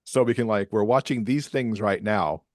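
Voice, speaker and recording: A man's voice: on the low side, moderate pace, darkish tone, dry recording, clean studio sound. A man chatting casually as a podcast guest, talkative and easy to laugh. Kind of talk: casual conversational interview.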